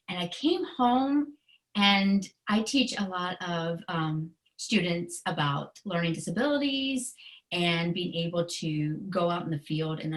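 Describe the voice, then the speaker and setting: A woman's voice: medium pitch, fairly bright tone, poor audio. A middle-aged American woman speaking in an earnest, emotionally open, conversational way as an interview guest telling personal stories. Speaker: guest author and special education educator.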